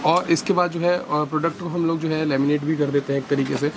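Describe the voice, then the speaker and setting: A young man showing products in a warehouse, explaining things slowly with many fillers on a lapel mic. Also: on the high side, level tone, noisy background. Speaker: warehouse business owner. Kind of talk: product walkthrough. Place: large indoor warehouse with shelves.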